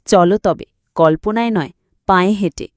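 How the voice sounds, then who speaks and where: A woman's voice: mid-range voice, normal speed, no echo, voice pushed down, close to an everyday voice. A woman voicing a curious, brave and wise cat in a children's cartoon, earnest and encouraging.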